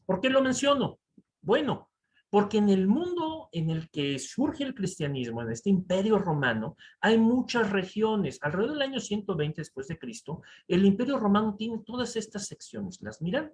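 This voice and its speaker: A man with a Mexican accent giving a conference lecture over slides, animated and full of rhetorical asides to the audience. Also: sits high, moderate pace. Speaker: conference speaker. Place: conference hall.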